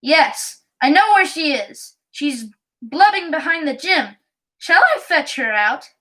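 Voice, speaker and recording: A woman voicing a mean, spiteful schoolgirl tattletale with a squeaky little voice in a storybook. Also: big pitch swings, slow, pushed and bright, exaggerated voice, low-quality recording. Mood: spiteful, taunting.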